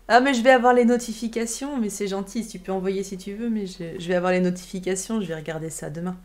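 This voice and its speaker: A woman's voice: medium pitch, a little fast, darkish tone. A woman in her late thirties co-hosting a casual live stream, chatting and reacting to viewer messages. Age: late 30s. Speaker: livestream co-host. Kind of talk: casual livestream chat. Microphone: webcam livestream.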